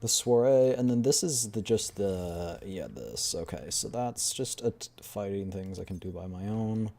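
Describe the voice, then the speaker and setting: Male game streamer, low-pitched, mellow, casual livestream chat. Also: normal speed.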